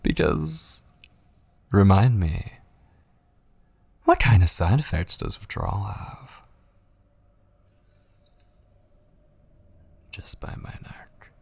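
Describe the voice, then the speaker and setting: Man acting a slow, gravelly obsessive kidnapper. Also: lowish voice, very animated, darkish tone, heavily acted. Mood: coaxing, threatening. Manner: slow, coaxing, slipping often into breathy whispers. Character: obsessive possessive male kidnapper, seductive, menacing and pleading. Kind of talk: ASMR audio roleplay acting.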